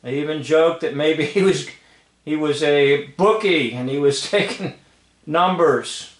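Slow, high-pitched man in a roomy space. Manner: emphatic, repeats phrases, checks in with "okay?". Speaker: commentator. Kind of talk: off-the-cuff commentary over old film footage. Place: indoor room, filming a screen. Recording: roomy, echoing home recording.

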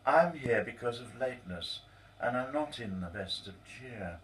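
A man's voice: mid-range voice, expressive, a little slow, fairly bright tone, distant mic, voice pushed up, low-quality recording. A man voicing a young female office worker, speaking plainly in her part. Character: young woman worker, glum then relieved.

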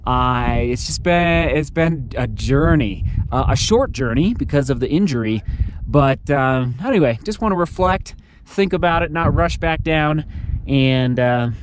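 A man's voice: on the high side, animated, measured pace, a little hiss or hum. A man in his thirties, a running vlogger, talking casually and upbeat to a camera held at arm's length. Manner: upbeat, chatty, thinking aloud. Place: mountain summit, car, shop, indoor room. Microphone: action camera at arm's length.

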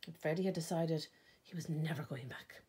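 An Irish woman reading a children's picture book aloud expressively, with dramatic pauses and emphasis. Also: low-pitched, dark tone, breathy edge. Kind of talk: storytime read-aloud narration.

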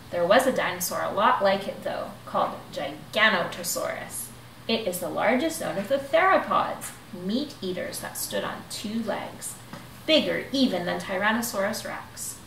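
A woman in her thirties reading a children's rhyming picture book aloud in an expressive, rhythmic way, seated in a living room. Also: mid-range voice, a little hiss or hum. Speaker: storybook reader. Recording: echoey room, mic at a distance.

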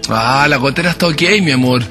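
An actor as weary young husband. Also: male voice, mid-range voice, brisk, close to an everyday voice. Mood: hurried. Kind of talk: radio drama acting. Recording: music behind the voice.

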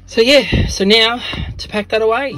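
A man's voice: extremely high pitch, normal speed, faint music behind, low-quality recording. A man in his thirties with an Australian accent, chatting casually as he films himself in a camper van with a phone held at arm's length. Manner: chatty, upbeat, with soft asides to his dog. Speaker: vlogger. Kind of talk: casual vlog narration. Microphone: handheld phone at arm's length.

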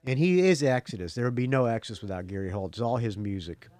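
A man's voice: mid-range voice, fast, darkish tone, no echo. A man with a faded Southern US accent, chatting casually as the host of a rock podcast. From Jacksonville, Florida, US. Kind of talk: casual phone chat on a rock music podcast.

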